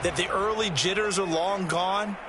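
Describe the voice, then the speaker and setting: A man's voice: high voice, fairly even intonation, moderate pace, close to an everyday voice. A man playing a seasoned football analyst in a video game, explaining plays emphatically as color commentator. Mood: assured, analytical. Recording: game broadcast audio under loud stadium noise.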